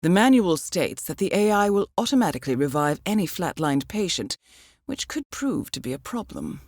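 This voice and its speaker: A composed, middle-aged spy handler briefing an assassin in a video game. Her voice sits fairly low and moves expressively through each phrase, formal and dryly understated. The recording is close and dry, with no room sound. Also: sing-song, normal speed, a little mellow, no echo. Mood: measured, businesslike. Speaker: mission handler. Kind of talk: scripted game voice acting, mission briefing.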